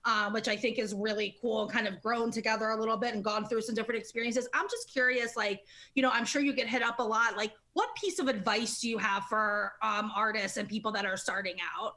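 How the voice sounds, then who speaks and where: A woman hosts a casual interview with a fairly high, very bright voice. She is chatty and informal, readily affirming what her guest says. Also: fairly even intonation, brisk, hardly any pauses. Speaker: interview show host.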